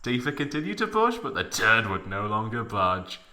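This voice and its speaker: Male narrator for comic fanfic reading: sharp, forceful tone, hugely swinging pitch, hammy emphasis. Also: sing-song, moderate pace, slight background noise. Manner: theatrical, exaggerated emphasis. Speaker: narrator of a fan fiction reading. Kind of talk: mock dramatic reading with comic asides.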